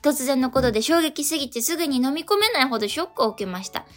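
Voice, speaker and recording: A woman's voice: high voice, animated, fast. A woman co-hosting a radio show, chatting and reading out listener letters.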